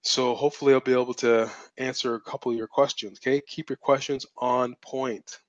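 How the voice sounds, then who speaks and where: A man's voice: mid-range voice, level tone, fairly quick, no room sound, dull audio. A man hosting a live webinar as a business mentor, talking bluntly and assertively, repeating points for emphasis, his voice strained and cracking. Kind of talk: live-streamed webinar teaching.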